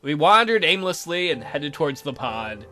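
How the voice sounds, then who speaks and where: A man's voice: a little high, big pitch swings, moderate pace, sharp tone, faint music behind. A man reading a visual novel game aloud as a let's play host, with crude, irreverent asides.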